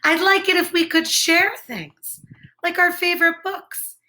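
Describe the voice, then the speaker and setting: Woman voicing an earnest, fretful rabbit, high and expressive. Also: a little slow, full character voice. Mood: earnest, worried. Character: earnest, fretful male rabbit worried about new otter neighbors.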